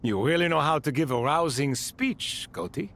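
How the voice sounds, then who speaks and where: A man's voice: fairly high pitch, animated, normal speed, a bit bright, a little hiss or hum. A man playing a proud, world-weary ancient character in a video game, formal and wry. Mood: wry, attentive. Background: background music.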